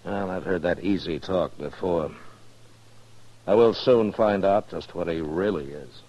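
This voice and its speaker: A stern western lawman for old-time radio. His voice is very deep and husky, and he talks in a dry, laconic way. The recording is dull and aged. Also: measured pace, dark tone. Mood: skeptical. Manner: laconic, dry, plain-spoken. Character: stern, dry, no-nonsense frontier marshal, big and weary. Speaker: actor playing a marshal. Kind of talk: 1950s radio western acting.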